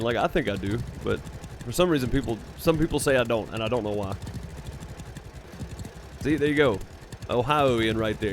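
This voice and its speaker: A man's voice: mid-range voice, a little hiss or hum. A man from Mississippi with a Mississippi accent, chatting casually and teasingly with live viewers as a streamer, laughing often. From Mississippi, United States.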